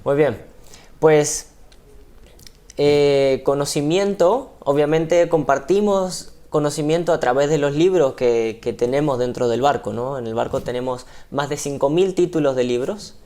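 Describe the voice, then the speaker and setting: Argentine man explaining, slightly high voice. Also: a little slow, darkish tone. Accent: Argentine Spanish. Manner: hesitant, explanatory, many fillers. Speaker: guest, ship crew member. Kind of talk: TV interview.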